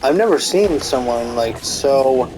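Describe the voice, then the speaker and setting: A man's voice: medium pitch, expressive, unhurried, low-quality recording. A man in a casual, rambling commentary rant, full of fillers and restarts, swearing often, with a stuffy nose. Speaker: commentary YouTuber.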